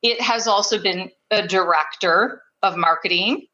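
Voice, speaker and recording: A woman being interviewed about presenting at trade shows, talking in a warm, chatty way with fillers and small laughs. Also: mid-range voice, a little slow, muffled recording. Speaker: trade show presenter, guest. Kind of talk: conversational interview.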